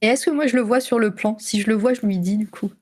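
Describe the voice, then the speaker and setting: A woman chatting as a player in a tabletop role-playing game, describing her character in a lively way. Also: on the high side, fast talker. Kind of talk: casual tabletop play.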